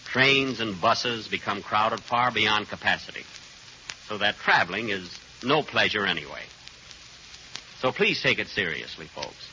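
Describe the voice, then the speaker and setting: A man making an earnest, deliberate public appeal in 1940s radio style. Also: lowish voice, expressive, sharp tone. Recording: noisy, muffled vintage radio recording.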